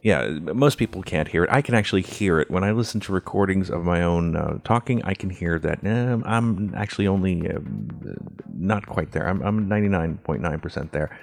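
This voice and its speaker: Podcast co-host, male, native Swedish speaker; very low pitch, loose and chatty. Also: a little fast, creaky, a little mellow, soft background music. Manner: playful, mock-official opening, many fillers. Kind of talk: casual podcast chat.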